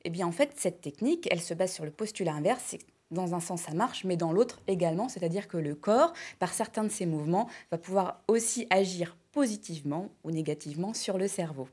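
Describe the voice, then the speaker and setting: A woman in her thirties, a health and wellness columnist on a morning TV show, explaining and demonstrating simple exercises in a clear, upbeat way, wearing a lapel mic in a bright studio. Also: fairly low pitch, a little fast, few pauses. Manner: clear, upbeat explainer, lists items with steady rhythm.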